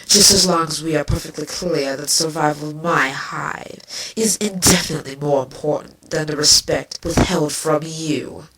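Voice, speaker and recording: A woman's voice: sits low, normal speed, few pauses, lightly acted. A woman playing a proud, guarded changeling queen villain in an amateur cartoon fan fiction reading, with a haughty manner. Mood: cold, firm. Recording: clearly noisy amateur mic with clicks.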